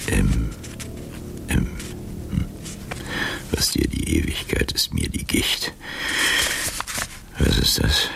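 Very deep, gravelly old man. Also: full character voice. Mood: brooding, bitter. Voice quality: very deep, gravelly, breathy half-whisper. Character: aged Casanova, old man reading through old love letters. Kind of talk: German radio drama acting.

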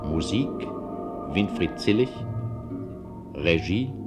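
Slow, deep-voiced male radio announcer. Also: animated. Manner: formal, deliberate. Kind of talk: formal scripted credits announcement. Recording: loud music behind the voice.

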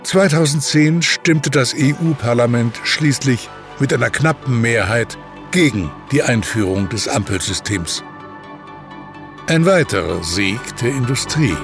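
A man's voice: medium pitch, lively intonation, a little slow, over music. A man narrating a scripted, documentary-style text as the narrator of an audio production.

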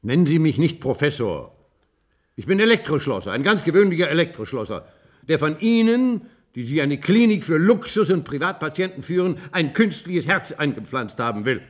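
An actor playing a proud, bitter, ailing old ex-surgeon in a radio play, earnest and stubborn, hesitant then insistent. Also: male voice, on the high side, big pitch swings, moderate pace. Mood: bitter, indignant. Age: older. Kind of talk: German science-fiction radio play acting.

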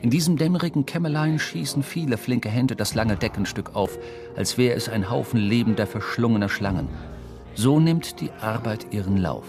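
A man's voice: mid-range voice, lively intonation, moderate pace, over music. A man narrating a literary radio drama with vivid, precise storytelling. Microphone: close studio microphone.

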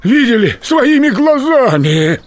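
An actor playing an excited, frightened peasant man in a radio play. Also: very high voice, slow, heavy background noise, heavily acted.

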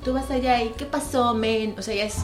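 A woman with a Mexican accent chatting on a radio panel in an animated, emphatic way. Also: a little high, moderate pace, reverberant, music in the background. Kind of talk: casual radio chat.

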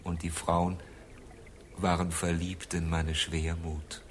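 An actor playing a melancholy, morbidly confessional man in a literary radio drama monologue, speaking in deliberate, measured phrases with pauses. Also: sits low, little pitch movement, slight background noise. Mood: brooding, resigned.

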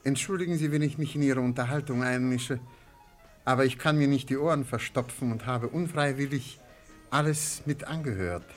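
An actor playing an elderly, formal and shrewd antiques dealer who reads horoscopes, in a measured German radio drama style. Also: male voice, medium pitch, faint music behind, lightly acted. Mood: courteous, sly. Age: older. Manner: formal, measured, politely ornate. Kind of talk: German radio play acting.

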